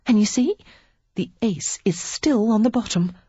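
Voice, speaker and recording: A woman's voice: mid-range voice, lively intonation, measured pace, warm tone, breathy edge, no room sound, dull audio, voice pushed up. A woman reading the part of a witty, confident young woman who teaches card tricks, in a teasing, playful way.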